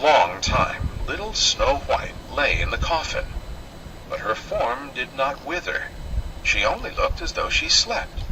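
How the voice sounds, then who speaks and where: Male storybook narrator, theatrical and old-fashioned, piercingly bright forceful tone, unhurried pace. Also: medium pitch, slight background noise, poor audio. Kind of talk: scripted fairy tale narration.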